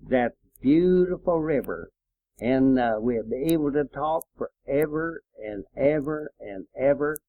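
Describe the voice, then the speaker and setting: An elderly man with a rural American accent, reminiscing in a folksy, unhurried way with trailing pauses, as if in a spoken letter. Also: fairly high pitch, no room sound. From Appalachian hill country, United States. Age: elderly, 70s or older. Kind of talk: rambling personal reminiscence recorded as an audio letter. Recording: dull, lo-fi home tape recording.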